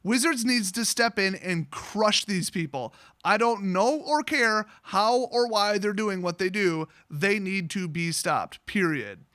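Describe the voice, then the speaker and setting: Male vlogger, high and bright voice, blunt sarcastic storytime delivery with plenty of swearing, recorded close. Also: expressive, unhurried, hardly any pauses, no echo. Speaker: online vlogger telling a story, card seller. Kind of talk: casual rambling storytime rant.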